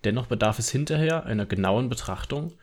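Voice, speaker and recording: A man's voice: medium pitch, expressive, fairly quick, natural voice. A man playing a critical, opinionated social media commenter in a radio drama collage. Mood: critical, serious.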